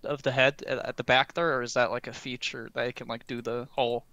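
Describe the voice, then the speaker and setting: Very bright, clear-voiced man chatting. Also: mid-range voice, little pitch movement, quick pace, no echo. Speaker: podcast panelist. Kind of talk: casual chat.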